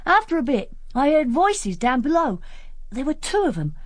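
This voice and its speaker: A young village boy with a rural English accent, high and very lively in pitch. He is cheeky and plain-spoken, in a close, dry radio drama sound. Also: moderate pace, no echo. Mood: matter-of-fact. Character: cheeky, matter-of-fact English country schoolboy.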